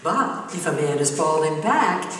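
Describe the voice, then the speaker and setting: A woman's voice: low-pitched, very animated, unhurried. An older woman playing a sly, needling wife in a scripted stage comedy routine with her husband. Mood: teasing. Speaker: comedy duo performer. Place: theater stage before an audience. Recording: echoey theater hall, distant mic, faint noise.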